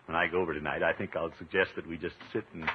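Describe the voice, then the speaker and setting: An actor playing a pompous, jovial, food-loving middle-aged uncle in a 1950s radio comedy. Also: male voice, low-pitched, steady tone, rapid-fire, close to an everyday voice. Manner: pompous, blustery, chuckling. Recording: tinny, noisy vintage radio recording.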